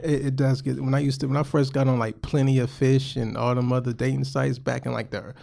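Gravelly laid-back podcast co-host man. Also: medium pitch, level tone, a little fast, mellow. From born in Germany, raised in the US South. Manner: laid-back, short agreeing interjections. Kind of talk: casual chat.

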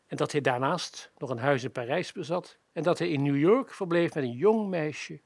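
A man playing an observant hotel employee who narrates gossip in a radio play, deliberately. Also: fairly high pitch, husky, no room sound. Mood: confiding.

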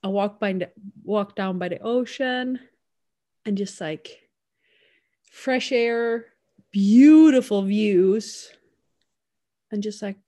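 A young woman with a Swedish accent chats casually as a podcast co-host. Her voice sits at a middle pitch, and she talks in loose, chatty runs, often slipping into lively quotes of what others said. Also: takes it slowly, pauses often. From Sweden. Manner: chatty, quotes people in animated bursts, laughs often. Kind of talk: casual chat.

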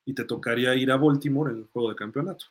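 A man hosts a live sports fan show in a casual, conversational way. His voice sits at a medium pitch. He reasons things through aloud as he responds to comments from listeners. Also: quick pace.

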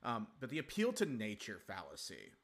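A man's voice: fairly low pitch, wildly swooping pitch, moderate pace. A man on a casual podcast panel, talking in a hesitant way with frequent restarts. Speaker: podcast guest.

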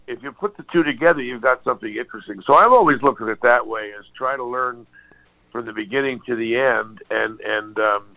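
A man's voice: medium pitch, a little fast. Older male guitarist from Hawaii, rambling interview guest, muffled lo-fi recording. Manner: rambling, frequent restarts and apologies. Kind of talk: relaxed interview storytelling. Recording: dull lo-fi sound.

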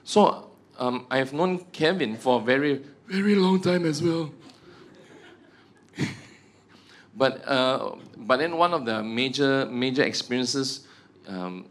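A man's voice: mid-range voice, takes it slowly, long pauses. Joking Malaysian man teaching a church group. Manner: joking, self-mocking, tags sentences with "ah" and "huh". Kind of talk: humorous teaching talk to a prayer group.

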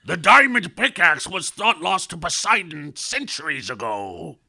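A man voicing an older island farmer with a gravelly, raspy voice and grand, old-fashioned phrasing. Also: fairly high pitch, very animated, unhurried, sharp tone, heavily acted. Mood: theatrical.

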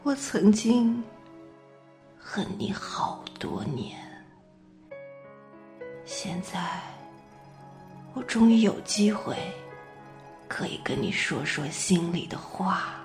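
Woman playing weary forgiving woman, radio play, hushed, very drawn-out phrasing, medium pitch, zither accompaniment. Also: very animated. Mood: tender, sorrowful. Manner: slow, hushed, deliberate, pausing between short phrases. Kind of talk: amateur radio drama reading of a stage play. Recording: zither music behind. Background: zither music.

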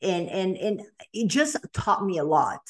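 A woman's voice: mid-range voice, big pitch swings, measured pace, darkish tone. A woman in her late thirties with a Brazilian accent, a guest on a podcast telling her story in a chatty way with lots of ums and restarts. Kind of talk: casual podcast interview.

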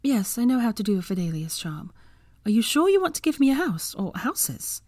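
A woman reads as an earnest young heroine in a storybook. The voice is lifted well above a low register, caring yet determined, and recorded dry and close. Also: very animated, brisk, a little mellow, no room sound.

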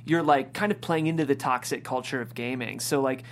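A woman's voice: very deep voice, a little fast. A woman hosting a casual interview, chatting conversationally as she sets up her questions. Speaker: interviewer, show host. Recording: noisy room with hum.

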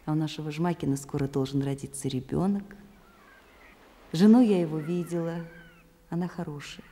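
A woman's voice: low voice, steady tone, normal speed, mellow. An actress playing a wistful, candid woman reading her letter in a radio play, intimate and reflective. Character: wistful, candid actress writing to a man she loves. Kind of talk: Russian radio play monologue. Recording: long reverb, faint background noise.

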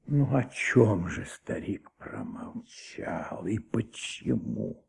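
A man's voice: on the low side, wildly swooping pitch, very slow, vocal fry, a little mellow, slightly rough, exaggerated voice. An actor playing a brooding, stubborn police commissioner in a radio play, muttering his questions as he ponders aloud. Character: brooding middle-aged French police commissioner, stubborn detective. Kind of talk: Soviet radio play acting.

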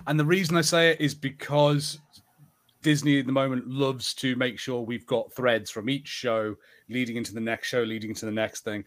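A man's voice: mid-range voice, steady tone, moderate pace, a bit bright. A man in casual conversation as co-host of a podcast, speaking informally. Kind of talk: casual chat.

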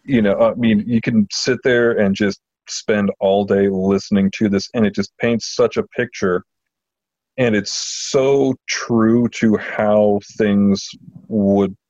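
A man with a fairly low voice talks as a podcast host in a casual, enthusiastic way. He stops and restarts his phrases often. The recording is slightly dull and lo-fi, with room echo around him. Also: fairly even intonation, a little mellow. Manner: enthusiastic, halting delivery with repeated words. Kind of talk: casual chat. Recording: muffled lo-fi audio in a roomy space.

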